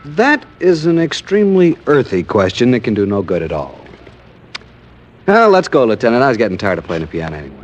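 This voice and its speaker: An actor playing a world-weary, sardonic nightclub piano player in 1940s radio drama, with a languid, flippant manner. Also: male voice, medium pitch, wildly swooping pitch, fairly quick. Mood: resigned, flippant. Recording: old muffled radio recording, music behind.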